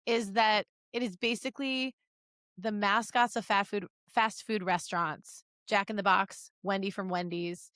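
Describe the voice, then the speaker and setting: A woman's voice: medium pitch, steady tone, unhurried, a bit bright, no room sound, clean studio sound. A woman co-hosting a comedy podcast in casual, joking chat. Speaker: podcast co-host.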